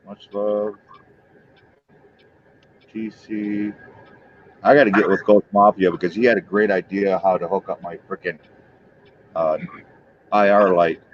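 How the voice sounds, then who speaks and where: A man's voice: deep voice, highs cut off, slight background noise. A man in his fifties hosting a livestream, chatting loosely and joking with viewers. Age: 50s. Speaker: livestream host. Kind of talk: casual chat with viewers.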